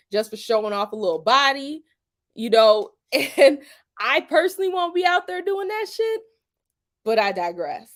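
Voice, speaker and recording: A young woman giving a casual opinion monologue to camera, emphatic and colloquial, often checking in with "okay?", swearing freely and laughing between points. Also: a little high. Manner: colloquial, drops into "y'all" and "ain't". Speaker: YouTube commentator.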